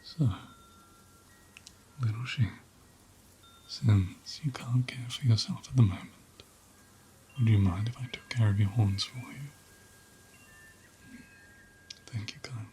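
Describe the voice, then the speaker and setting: Slow, whispery, mellow gentle ram played by a man. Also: fairly low pitch, a little hiss or hum, natural voice, rough recording. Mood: affectionate, soothing. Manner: soothing, tender, unhurried, often slipping into whisper. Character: gentle, doting male ram caretaker tending an injured lamb. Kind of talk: ASMR roleplay, soft half-whispered close speech.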